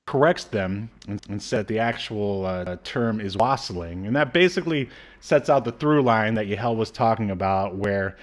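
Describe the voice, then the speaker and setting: A man in his thirties co-hosting a casual media review podcast, rambling hesitantly with dry asides. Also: on the low side. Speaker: podcast co-host. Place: small room at home. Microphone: video call setup.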